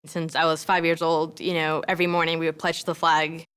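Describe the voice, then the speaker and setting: A woman's voice: low voice, little pitch movement, a little fast, a bit bright, clean tone. A woman in her twenties, born in Colombia and raised in New York, talking casually with lots of fillers in a documentary interview. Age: 20s. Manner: casual, lots of "you know" and "like". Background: background music.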